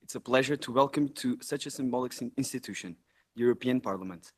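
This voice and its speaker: A young man from Portugal, the incoming president of a student network, giving an earnest, measured formal speech in a large hall. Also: medium pitch, slightly rough. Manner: earnest, measured, occasional self-corrections.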